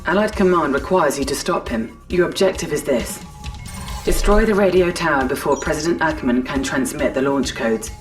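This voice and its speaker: Composed female battle announcer actress, low voice, clipped and formal, game updates with music behind. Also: normal speed, lightly acted. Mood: serious. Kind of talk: scripted video game mission announcements. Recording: slightly distant, music behind.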